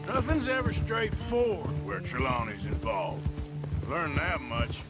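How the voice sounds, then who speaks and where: An actor playing a wry, gruff American outlaw gunslinger in his thirties in a video game, speaking with a drawl. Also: on the high side, wildly swooping pitch, a little slow. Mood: dry, easy. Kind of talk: video game cutscene acting. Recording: loud music and horse sounds behind. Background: music, horses, hoofbeats.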